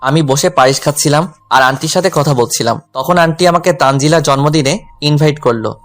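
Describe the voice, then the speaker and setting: A man's voice: on the high side, a little fast, lightly acted. A man playing a lovelorn, self-effacing young tutor who narrates his own romantic story. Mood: brooding. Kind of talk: scripted first-person romantic story narration with dialogue.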